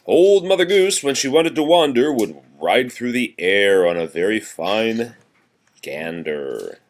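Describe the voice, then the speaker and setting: A man's voice: lowish voice, expressive, unhurried. A man reading nursery rhymes aloud in a sing-song way, chatting casually to a child between them as a parent would.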